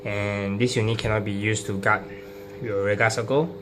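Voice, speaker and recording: A man informally explaining a card deck, halting and hesitant, often repeating his phrases. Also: lowish voice, level tone. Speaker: trading card deck profile presenter. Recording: clearly noisy recording with hum.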